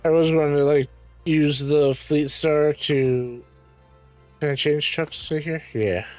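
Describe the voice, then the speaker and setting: A man with a raspy voice, casually commenting to himself as he plays a game, clearing his throat now and then. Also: a little high, steady tone, slow pace, darkish tone, faint music behind. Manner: muttering to himself while playing, frequent ums and throat-clearing. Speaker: game streamer.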